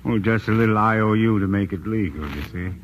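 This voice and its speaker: An actor playing a mock-polite, calmly threatening crime boss in a 1940s-style radio drama. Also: male voice, fairly low pitch, fairly quick, muffled recording, lightly acted. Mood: sly, insinuating. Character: smooth, menacing male crime syndicate boss. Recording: old radio recording, faint noise.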